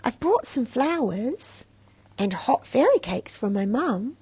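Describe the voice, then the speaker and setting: A woman's voice: on the high side, sing-song, takes it slowly, mellow, husky, no room sound, heavily acted. A woman voicing a plucky, polite young girl with an earnest, direct manner in a children's storybook. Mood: timid, polite. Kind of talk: read-aloud children's storybook.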